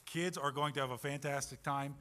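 A hearty, swaggering Australian adventurer , as a man puts him on, in a put-on Aussie accent. The voice is bright and forceful at an ordinary pitch, upbeat and salesy as it revs up the kids. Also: steady tone, fairly quick, natural voice. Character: swaggering male Australian adventurer with pet koala. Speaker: Vacation Bible School promoter. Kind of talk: costumed church announcement.

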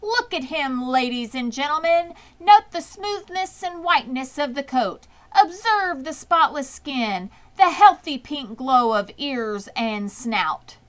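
Pompous loudspeaker announcer, acted by a woman reader, high pitched, booming, grandiose, declamatory, storybook style. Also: expressive, slow pace, few pauses. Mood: grandiose, proud. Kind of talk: children's storybook character voice.

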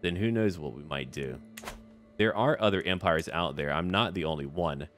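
Man, low voice, casual strategy game commentary, short thinking-aloud remarks, talks to characters, faint music behind. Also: normal speed, fairly bright tone. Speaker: gaming video host. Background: background music.

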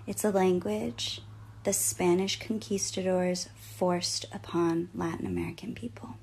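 A woman explains her point earnestly in a low, gravelly voice. Her pitch barely moves, staying flat throughout. The clip sounds distant, with a faint hum behind her. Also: unhurried. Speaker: voice actress. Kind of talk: social media video.

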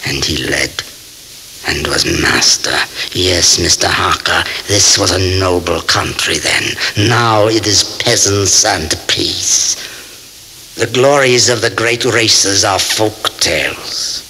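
A proud old vampire nobleman in an audio horror drama. His speech is deep and very deliberate, courtly and grandiose, with a sharp, menacing edge. Faint tape hiss sits under the voice. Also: full character voice, rough recording. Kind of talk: radio drama acting.

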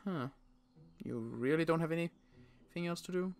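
A young man playing a weary survivor in a Minecraft roleplay, talking in halting bursts with sighs and restarts. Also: a little high. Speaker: roleplay player and channel host.

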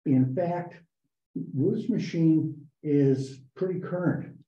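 A man with a very dark, mellow voice gives a halting report at a town board meeting, stopping and restarting often. The sound is roomy and a little dull, picked up by a mic in a meeting room. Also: fairly high pitch. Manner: halting, many fillers and restarts. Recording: echoey, muffled meeting room recording.

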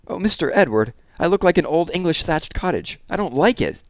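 A man's voice: medium pitch, big pitch swings, moderate pace, no echo, voice pushed up, natural voice. A man voicing a proud, earnest young girl, polite but outspoken, in an audiobook story. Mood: dismayed.

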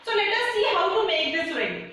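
A young woman teaching a children's fitness class, speaking in slow, simple phrases and counting out exercises. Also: extremely high pitch, big pitch swings, over loud music. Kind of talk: instructional class, counting reps. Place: large bare room indoors. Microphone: far camera. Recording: echoey bare room from far off.

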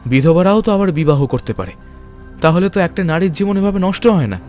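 A man voicing a principled, kindly scholar and reformer in a cartoon, speaking in an earnest, measured, resolute way. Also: sits high, lively intonation, darkish tone, faint music behind. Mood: troubled, resolute. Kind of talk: dramatic cartoon voice acting.

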